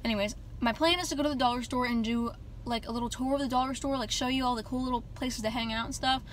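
A teenage girl vlogging in a casual, jokey way, her voice a little raspy from short sleep, filmed on a phone at arm's length in a parked car. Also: fairly high pitch, steady tone, fast, noisy background. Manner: chatty, jokey, lots of "like" and "literally". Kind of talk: casual vlog chat. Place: parked car on a rainy day. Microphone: phone held at arm's length.

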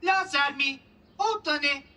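A woman voicing a gentle, curious little companion in a children's cartoon, with a simple way of talking. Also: high-pitched, measured pace. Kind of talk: children's cartoon dub acting. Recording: music under the voice.

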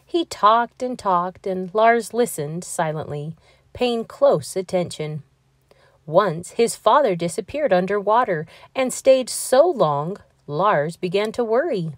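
A woman narrates a picture book for children. Her pitch moves around in an animated way, and her delivery is expressive and deliberate. The sound is dry and close. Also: no room sound. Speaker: storybook reader. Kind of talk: children's read-aloud narration.

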